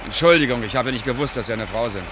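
An actor plays a gruff male truck driver, blunt and quick to temper. The radio drama scene sounds outdoors, with vehicle noise clearly around his voice. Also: medium pitch, lightly acted. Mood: embarrassed, apologetic. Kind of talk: radio play acting.

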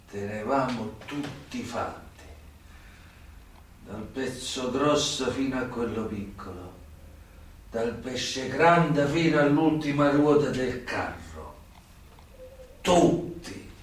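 An actor playing a worldly, sly old mafia turncoat in a radio drama, with a southern Italian accent and weighty short phrases between long pauses. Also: male voice, medium pitch. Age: older. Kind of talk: theatrical radio drama acting. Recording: very echoey stage, distant microphone.

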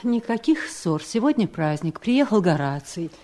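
An actress playing a scheming, coolly polite wife in a radio play, speaking with measured, pointed irony. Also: female voice, on the low side, sing-song, mellow. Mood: commanding. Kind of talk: 1970s Soviet radio play acting.

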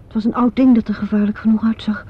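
A woman's voice: on the high side, flat delivery, fast, breathy edge. An actress playing a proud, loyal theatre star in a Dutch radio play, emphatic and dramatic in her delivery. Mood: defensive, firm. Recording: dull, lo-fi old radio recording.

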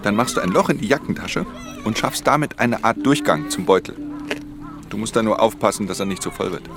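An actor playing a wary, world-weary pickpocket in a radio play, terse, hushed and matter-of-fact in his telling. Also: fairly low pitch, brisk, creaky. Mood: calm, instructive. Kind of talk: German radio play narration and dialogue. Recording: music under the voice.

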